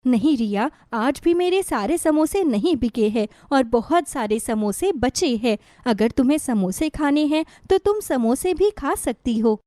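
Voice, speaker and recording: A woman playing a poor, gentle, weary mother, earnest and gently chiding, in a moral-story dialogue. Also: sits high, animated, measured pace, hardly any pauses, no room sound, clean studio sound. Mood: sad.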